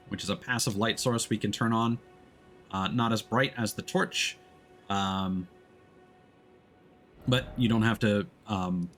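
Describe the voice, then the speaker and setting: Male game streamer, lower-pitched voice, casual and halting as he explains gameplay, music clearly behind him. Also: a bit bright. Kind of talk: casual let's-play stream commentary. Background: background music.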